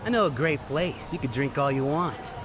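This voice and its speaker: An actor playing a smug, lecherous young gangster pickup artist with a smooth-talking manner in an English video game dub. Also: male voice, a little high, animated, moderate pace, background noise, close to an everyday voice. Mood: leering.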